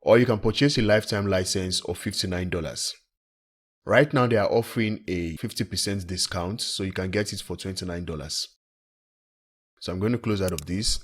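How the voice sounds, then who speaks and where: A man with a deep, husky voice narrates a software tutorial. He explains each step plainly, as an instructor would over a screen recording. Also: normal speed. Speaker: tech tutorial presenter.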